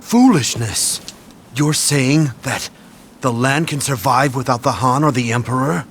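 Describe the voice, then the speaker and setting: A man playing a shrewd, haughty military strategist of ancient China in a video game cutscene, speaking in a measured, condescending, theatrical way. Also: medium pitch, slight background noise, lightly acted. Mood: indignant. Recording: loud music under the voice. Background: game music.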